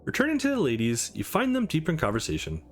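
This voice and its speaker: A man's voice: a little high, wildly swooping pitch, fast talker, a little hiss or hum. A man reading a visual novel aloud as a let's play host, dropping in casual asides between the lines.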